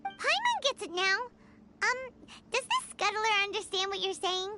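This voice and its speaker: A woman voicing a tiny, cheeky, childlike fairy companion in an anime video game, exclaiming in a squeaky voice. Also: sing-song, measured pace, full character voice. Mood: curious, upbeat. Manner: exclamatory, speaks of self in third person. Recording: loud game music behind the voice.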